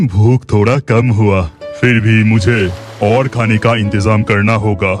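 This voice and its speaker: A man's voice: on the low side, fairly even intonation, slow pace, soft background music. A man playing a resourceful, caring village husband in a children's cartoon story. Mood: reassuring.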